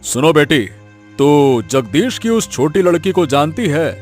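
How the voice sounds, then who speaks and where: A man's voice: a little high, big pitch swings, a little slow. Man playing pompous village landowner, swooping voice. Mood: gossipy, puzzled. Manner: pompous, rustic wheedling talk. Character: scheming, overbearing rich village father and landowner. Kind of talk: cartoon moral tale acting. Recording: music faintly behind the voice.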